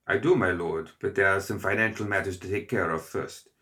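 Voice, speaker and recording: A man's voice: low-pitched, brisk, pushed and bright. A man voicing a nervous, formal lawyer who speaks deferentially.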